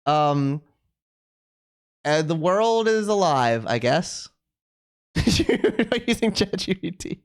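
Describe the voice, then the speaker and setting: Animated game streamer reading aloud. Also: medium pitch, slow, long pauses. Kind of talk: casual live game commentary, reading text aloud. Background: music.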